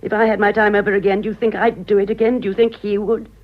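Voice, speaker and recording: A woman's voice: medium pitch, level tone, quick pace, phone-quality sound, close to an everyday voice. An actress playing a worldly, rueful older mother-in-law, pleading with emotional, hesitant delivery in a radio drama. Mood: grieving, urgent.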